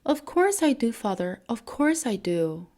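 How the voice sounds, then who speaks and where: A woman voicing a gentle, devoted young daughter in a fairy tale, earnest and polite. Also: a little high, sing-song, measured pace.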